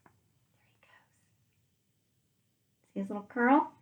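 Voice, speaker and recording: Slow-paced woman reading a storybook to children. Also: narrow, tinny audio. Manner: expressive, gentle questioning asides to children. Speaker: teacher reading aloud to young children. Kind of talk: storybook read-aloud with asides to listeners.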